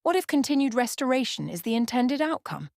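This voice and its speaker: Woman playing wry skeptical church member, lively pitch, dry sound. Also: a little fast, vocal fry, no echo, heavily acted.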